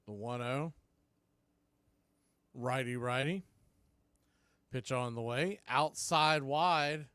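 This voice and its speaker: A man's voice: medium pitch, slow pace, pauses often, sharp tone, clean tone, no room sound. A man doing live radio play-by-play of a baseball game as the announcer, in short clipped phrases. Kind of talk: live radio sports commentary.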